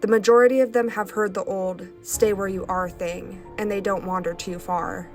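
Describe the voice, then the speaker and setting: A woman's voice: mid-range voice, fairly even intonation, normal speed, faint music behind. Stuffy-nosed woman reading eerie stories. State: stuffy nose from sinus infection. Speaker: host. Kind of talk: reading first-person stories aloud.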